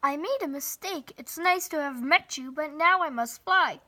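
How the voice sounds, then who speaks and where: A woman's voice: high voice, normal speed, sharp tone, voice pushed up. A woman voicing a mean, hungry, boastful male dragon in a picture book read-aloud. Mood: nervous, polite.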